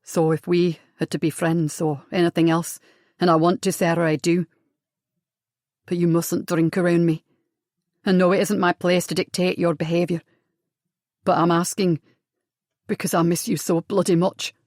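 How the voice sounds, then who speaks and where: A woman's voice: flat delivery, a little mellow, no room sound, studio quality, close to an everyday voice. A woman doing an earnest, wounded young man. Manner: low, earnest, halting.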